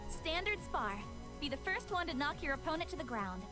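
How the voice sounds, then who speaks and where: A woman plays an honorable female knight from a fantasy game, with a high, very lively voice. She instructs earnestly and gets exasperated easily. Music plays clearly behind her. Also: fairly quick. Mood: businesslike. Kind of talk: visual novel character acting.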